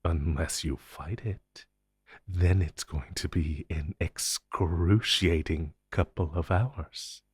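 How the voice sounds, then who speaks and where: A man playing an inhuman monster interrogator in an intense audio roleplay, taunting and condescending, with deliberate theatrical pauses. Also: low-pitched, husky, no room sound, studio quality, full character voice. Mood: menacing, soothing. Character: menacing male monster interrogator, inhuman, smug and possessive.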